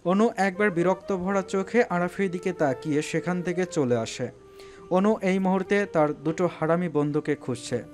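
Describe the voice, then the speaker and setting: A man with a slightly high voice narrates a scripted story. He delivers it as dramatic storytelling, with faint music behind him. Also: normal speed, a little mellow.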